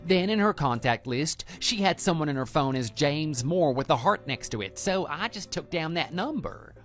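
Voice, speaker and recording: A man's voice: on the high side, lively intonation, moderate pace, natural voice. A man voicing a brash, evasive young former student in a scripted audio drama, with a flippant, defensive manner. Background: background music.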